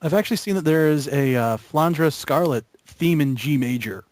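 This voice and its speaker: Higher-pitched man in dry casual chat. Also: expressive, moderate pace, darkish tone, no echo.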